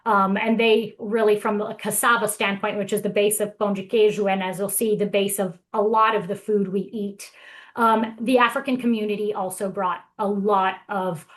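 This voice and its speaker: A woman's voice: medium pitch, fairly even intonation, moderate pace. Enthusiastic woman presenter from Brazil. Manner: enthusiastic, chatty. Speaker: guest presenter. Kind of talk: casual presentation.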